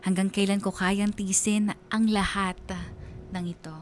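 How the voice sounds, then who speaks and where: A woman's voice: medium pitch, flat delivery, unhurried, faint music behind, close to an everyday voice. A woman narrating as a troubled letter writer on a radio drama, heartfelt and confiding, with a Filipino accent. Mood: despairing. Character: troubled, disheartened female letter writer telling her story.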